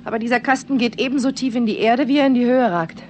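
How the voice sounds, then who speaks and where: An actress playing an earnest young doctor's daughter with clear, animated delivery in a 1960s German radio drama. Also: female voice, a little high, quick pace, natural voice. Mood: brisk, instructive. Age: young adult. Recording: reverberant, noisy vintage radio recording.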